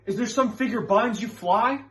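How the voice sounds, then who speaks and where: A man's voice: high-pitched, normal speed, a bit bright, voice pushed up. A man performing stage monologues as an earnest, scholarly witch-hunting reverend, with emphatic, preacherly delivery and formal archaic phrasing. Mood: probing.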